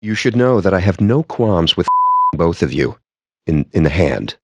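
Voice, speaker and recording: An actor playing a cocky, foul-mouthed, nerdy poker player in a video game, with a sardonic, smug and theatrically wordy delivery. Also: male voice, deep voice, very animated, moderate pace, husky, dry recording, exaggerated voice. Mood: wry. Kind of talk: video game character banter.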